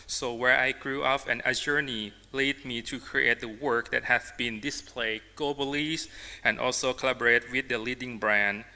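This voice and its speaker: A man with a Thai accent presents his work as an artist and designer to a symposium. His voice is very bright and forceful, with halting, emphatic phrasing. It rings with the long reverb of a large hall. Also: mid-range voice, steady tone. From Thailand. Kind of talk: public presentation in non-native English. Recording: reverberant hall, amplified talk.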